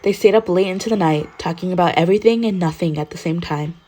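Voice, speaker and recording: Casting: female audiobook-style narrator, lowish pitch, reading prose fiction from a script. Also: fast, warm tone. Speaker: podfic narrator.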